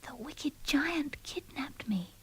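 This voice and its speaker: A woman voicing a gentle, frightened magic harp from a storybook, in a hushed, whispery, drawn-out voice. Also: high voice, lively intonation, voice pushed up, exaggerated voice, low-quality recording. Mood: fearful, urgent. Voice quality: whispery, breathy.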